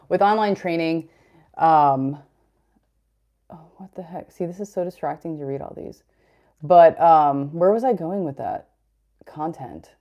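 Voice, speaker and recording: A young adult woman with a deep voice hosting a casual fitness livestream, chatty and rambling as she answers chat comments. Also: warm tone.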